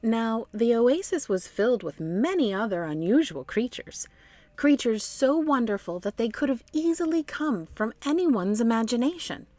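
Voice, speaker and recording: A woman's voice: on the high side, big pitch swings, moderate pace, darkish tone, dry recording. A woman hosting a children's read-along, narrating expressively and speaking directly to young listeners. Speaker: storytime host and narrator.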